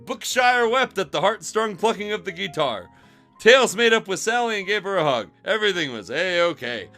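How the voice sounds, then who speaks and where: A man's voice: high voice, big pitch swings, moderate pace, pushed and bright. A man reading fan fiction aloud on a stream in a theatrical, mocking way, given to giggles and asides. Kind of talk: dramatic, mocking story reading on a casual stream. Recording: music behind the voice. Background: music.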